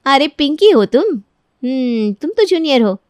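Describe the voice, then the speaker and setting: Woman doing a cocky college mean girl, high voice, teasing and bossy, story acting, super dry. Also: very animated, unhurried, a little mellow, no room sound. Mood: mocking, bossy. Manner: taunting, bossy. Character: cocky young college senior woman, mocking bully. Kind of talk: Hindi moral story character acting.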